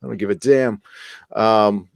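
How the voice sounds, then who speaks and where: A man's voice: fairly low pitch, steady tone, unhurried, vocal fry, smooth, no echo. A man hosting a live podcast in casual chat, rambling and joking, with some swearing. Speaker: podcast host.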